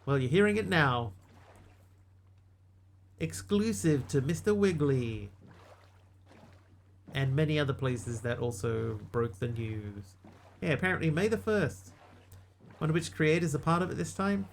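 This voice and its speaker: A man with a New Zealand accent gives relaxed, chatty commentary as he plays a game on a live stream. His delivery is dry and self-mocking, pitched a little on the high side. A faint hiss runs under the recording. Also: measured pace, pauses often. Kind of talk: casual live-stream commentary while gaming.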